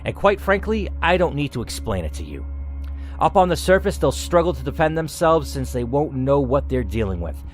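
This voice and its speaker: A man voicing a cold, arrogant lead scientist in a story game, calm, aloof and condescending. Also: medium pitch, moderate pace, faint music behind, close to an everyday voice. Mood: calm, self-important.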